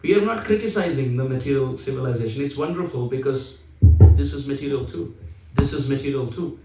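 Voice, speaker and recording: A man's voice: mid-range voice, fairly quick, noisy background. A man giving a sermon, emphatic and repeating his key phrases. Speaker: spiritual speaker. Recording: echoey hall, voice from a distance.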